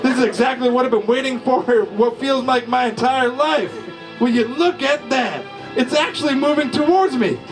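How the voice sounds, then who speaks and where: A man reading a comic first-person story aloud in a slow, folksy deadpan drawl at a live comedy show. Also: extremely high pitch, low-quality recording. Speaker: storyteller reading his father's short story.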